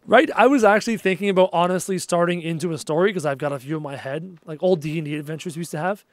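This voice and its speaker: A man's voice: a little high, fast talker, no room sound, clean studio sound. A man streaming a game live, chatting casually with viewers and reading out their messages, his voice worn and tired. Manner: chatty, reads out viewer messages, easily excited. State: losing his voice, low on energy. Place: at his desk at home.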